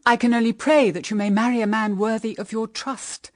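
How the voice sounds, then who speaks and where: An actress playing a grave, practical middle-aged British mother in a period radio drama, speaking in measured, earnest, formal phrases. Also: a little high, steady tone, no room sound, clean studio sound, natural voice. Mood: gentle, grave. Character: grave, pragmatic widowed mother guarding her daughters.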